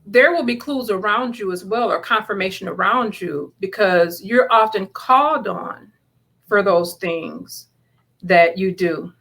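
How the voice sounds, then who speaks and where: A woman's voice: mid-range voice, unhurried, fairly bright tone, breathy edge. A woman speaking as a guest author, answering in a conversational, sincere and reflective way about matters of faith.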